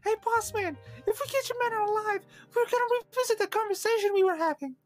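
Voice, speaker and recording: A man voicing a gruff, streetwise male agent who bosses his young partner around. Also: very high-pitched, fast talker, soft background music. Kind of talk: improvised game voice acting.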